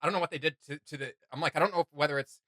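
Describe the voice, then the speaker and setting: A man's voice: fairly high pitch, very fast, pushed and bright, no echo, studio quality. A man hosting a reaction video, chatting casually with an enthusiastic, rambling, joking manner.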